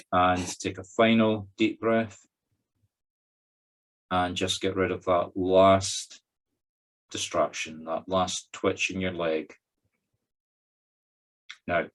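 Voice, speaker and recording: A Scottish man with a deep voice acts as a medium guiding a spiritual circle. He leads the meditation in short, spaced-out phrases, directing listeners through each step. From Scotland. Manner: slow, pausing instructions in short phrases. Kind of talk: guided meditation.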